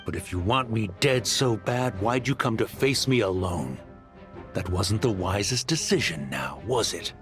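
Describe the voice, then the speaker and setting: Smug desert crook, animated delivery. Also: male voice, lowish voice, unhurried, soft background music. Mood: smug, persuasive. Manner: sneering, boastful, glib. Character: smug greedy male desert crime boss posing as philanthropist. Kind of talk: video game voice acting.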